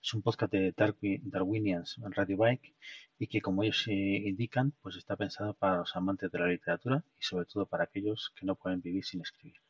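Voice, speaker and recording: Low-voiced Castilian Spanish man, gravelly. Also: no room sound. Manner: halting, often repeating words. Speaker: podcast host. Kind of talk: informal solo podcast monologue. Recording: dull, muffled sound, distant mic.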